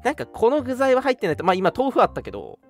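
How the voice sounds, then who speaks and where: Young man with highly animated pitch. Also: racing. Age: young adult. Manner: chatty, laughs easily. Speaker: radio show co-host. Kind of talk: casual chat, reading listener comments. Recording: music behind the talk. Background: background music.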